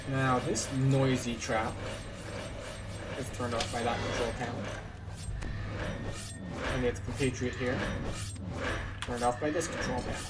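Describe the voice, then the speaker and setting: A man's voice: mid-range voice, little pitch movement, a little slow, long pauses, far from the mic, heavy background noise. A man casually explaining a video game as he plays, like a tour guide pointing things out. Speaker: game walkthrough host. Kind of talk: casual gameplay commentary.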